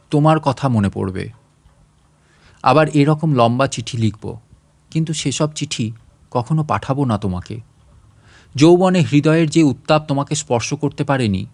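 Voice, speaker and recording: A man reading a literary story aloud as a narrator, in an expressive, reflective and measured first-person delivery. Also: medium pitch, vocal fry.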